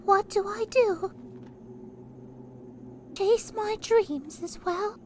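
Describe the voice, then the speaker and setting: A woman's voice: very high voice, drawn-out words, a little mellow, slight background noise, exaggerated voice. A woman voicing a whimsical, plaintive female circus jester with quaint, dramatic rhyming lines in a fantasy game fan dub. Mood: wistful.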